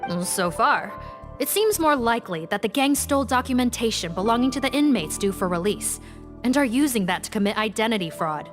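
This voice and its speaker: An actress playing a polite, skeptical investigator in a video game, formal and courteous. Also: female voice, a little high, animated, fairly quick, close to an everyday voice. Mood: politely doubtful. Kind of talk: video game character acting. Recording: music clearly behind the voice.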